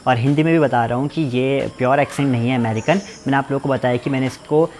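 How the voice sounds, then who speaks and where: A young man talks fast in a relaxed home vlog. His speech is informal and a little repetitive as he explains things in his own words. His pitch sits in the middle of the range. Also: a little hiss or hum. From Uttarakhand, India. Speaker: vlogger. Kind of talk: casual family vlog chat. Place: village home in the hills.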